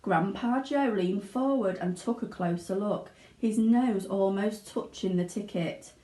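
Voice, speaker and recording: A woman giving an expressive narration of a children's storybook. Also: medium pitch, a little slow. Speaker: teaching assistant reading aloud. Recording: roomy indoor echo.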